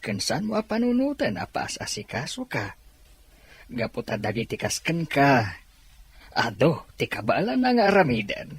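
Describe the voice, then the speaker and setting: A man playing a kind, fatherly gentleman with a gentle, reassuring manner in an audio drama. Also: mid-range voice, huge pitch swings, normal speed, breathy edge, no room sound, exaggerated voice.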